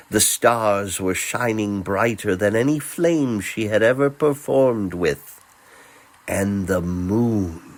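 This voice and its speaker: A man narrating a fantasy romance as a storyteller, in a tender, theatrical manner with dramatic pauses. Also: lowish voice.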